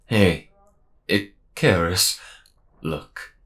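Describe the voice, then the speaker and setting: Very deep, slow robot mechanic played by a man. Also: full character voice. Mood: calm, reassuring. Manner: measured, unhurried, gently wry. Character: friendly robot mechanic, calm, dry-humoured desert rescuer. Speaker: voice actor in an audio roleplay. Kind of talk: slow intimate audio roleplay acting.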